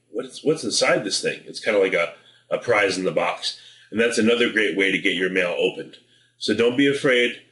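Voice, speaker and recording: A man's voice: fairly low pitch, a bit bright. A man presenting informal marketing advice in a direct, persuasive, plain-spoken way. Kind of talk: informal sales advice to camera. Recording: roomy indoor echo.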